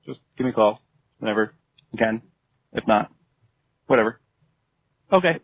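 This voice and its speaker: Man, lowish voice, halting and deadpan casual message, dull lo-fi recording. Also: no room sound. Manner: hesitant, awkward, deadpan, trailing off. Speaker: guest leaving a congratulatory message.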